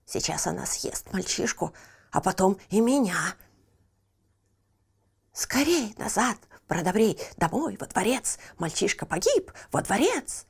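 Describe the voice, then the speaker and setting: A woman's voice: mid-range voice, big pitch swings, a little slow, creaky, husky, exaggerated voice. A woman voicing a sly, cowardly male barber in a fairy tale, muttering fearfully and spitefully. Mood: panicked.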